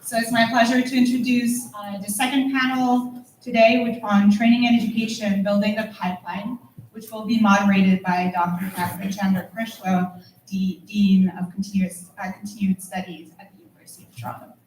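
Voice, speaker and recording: A woman's voice: medium pitch, flat delivery, brisk, a little mellow. Woman introducing a panel in a roomy hall. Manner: hesitant, filler-heavy introduction. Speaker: conference host, executive director. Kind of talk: formal event introduction. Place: conference hall. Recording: echoey hall, distant mic, background noise.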